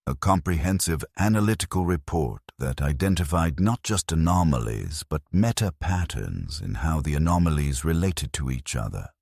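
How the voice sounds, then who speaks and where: A man narrating a scripted first-person story in a measured, grave manner. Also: very deep voice, darkish tone, no echo, clean studio sound.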